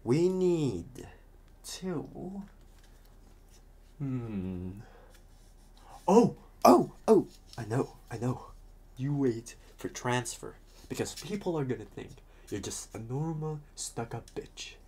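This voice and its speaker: A man playing a talkative, restless psychopath convict in an ASMR roleplay, protective and scheming, with halting, muttered asides. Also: mid-range voice, sing-song, darkish tone, husky, full character voice. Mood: scheming, distracted.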